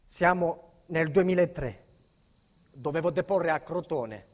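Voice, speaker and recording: An actor playing a frightened, indignant protected witness in an intense theatrical monologue that moves between hushed and agitated. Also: male voice, a little high, takes it slowly. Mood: hushed, brooding. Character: frightened, indignant male protected witness recounting his ordeal.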